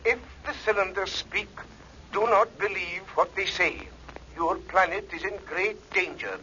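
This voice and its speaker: High, sharp professor on telephone. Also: male voice, measured pace, dull audio. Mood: grave warning. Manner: grave, terse. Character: anxious male professor reporting an alarming discovery by telephone. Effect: telephone filter. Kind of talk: 1960s radio drama acting. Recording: thin noisy old radio recording.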